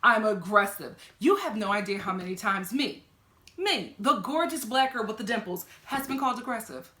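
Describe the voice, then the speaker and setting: A young woman with a fairly deep voice giving an animated, sassy reaction review, talking straight at the people she watches. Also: normal speed, roomy sound. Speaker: reality TV reviewer. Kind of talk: casual reaction commentary.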